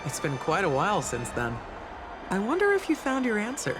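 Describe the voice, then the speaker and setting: A woman's voice: medium pitch, wildly swooping pitch, moderate pace, poor audio. A woman playing a self-assured, teasing, perceptive lady in a video game, with a casual, knowing manner. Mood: teasing, warm. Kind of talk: video game dub acting. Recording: loud music under the voice.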